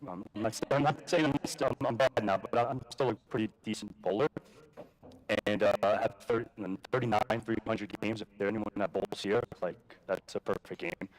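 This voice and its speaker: A man's voice: on the low side, normal speed, rough recording. A man from Queens, speaking casually at a live conference, full of fillers, restarts and swearing. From Jamaica, Queens, New York. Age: adult with a daughter. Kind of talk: personal story talk at a live event.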